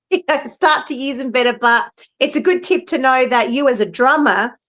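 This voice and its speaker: A woman's voice: high-pitched, moderate pace, a bit bright. A middle-aged woman, a professional singer, talking casually on a video call, rambling with many fillers and restarts. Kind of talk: casual roundtable chat. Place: home, live-streamed video call.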